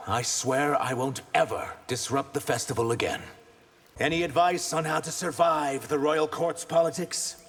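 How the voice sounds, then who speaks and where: Reptilian humanoid, raspy, earnest, guarded, game dialogue, big reverberant space. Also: male voice, on the high side, moderate pace, fairly bright tone. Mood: earnest, wary. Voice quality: husky, raspy. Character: earnest, wary male reptilian humanoid warrior. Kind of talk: video game character dialogue. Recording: long hall-like reverb.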